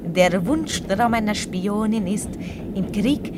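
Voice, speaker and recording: A woman's voice: medium pitch, expressive, takes it slowly, noisy background. A woman playing a pretty young spy in a German radio play, blithely matter-of-fact and ambitious. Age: young adult.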